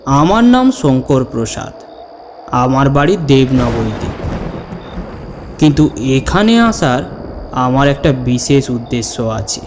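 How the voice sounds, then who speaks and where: A man narrating a scripted ghost story in a dramatic, deliberate way, with measured pauses. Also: medium pitch, very animated, background noise. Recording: long reverb over the voice. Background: background music.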